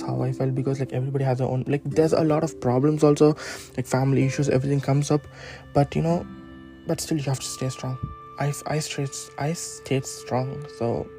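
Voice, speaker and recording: A man's voice: fairly high pitch, gravelly. A young man with an Indian accent hosting a casual solo podcast, rambling with many "like" and "you know" fillers. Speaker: podcast host. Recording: faint guitar music behind.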